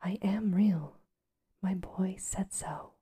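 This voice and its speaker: A woman voicing a shy, insecure little male toy rabbit in a soft whisper. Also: medium pitch, slow pace, slight background noise, close to an everyday voice. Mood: upset.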